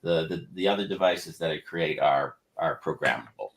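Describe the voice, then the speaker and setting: A man explaining his inventions on a casual livestream, rambling and halting with many restarts. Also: a bass voice, a bit bright. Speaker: inventor presenting research.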